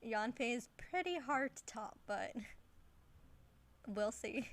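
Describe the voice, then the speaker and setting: A woman's voice: fairly high pitch, animated, slow pace. A woman game streamer chatting casually with viewers in a giggly, informal way. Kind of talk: casual livestream chat. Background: game music.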